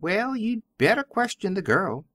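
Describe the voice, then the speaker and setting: A woman voicing a brisk, offhand male station master in a dramatic audiobook reading. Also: mid-range voice, very animated, a bit bright.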